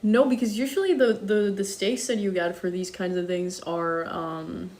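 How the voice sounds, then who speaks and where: A young woman streaming live while she cooks, chatting casually and reading out viewer comments. She speaks international-school English with slight German touches and fills her talk with ums and quick apologies. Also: mid-range voice, steady tone, darkish tone, slight background noise. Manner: chatty, self-deprecating, frequent ums and apologies.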